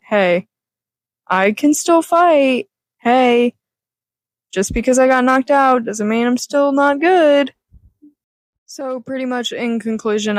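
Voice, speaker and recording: A woman with a raspy voice giving a candid, rambling rant to her channel, swearing casually. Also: mid-range voice, dry recording. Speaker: YouTuber.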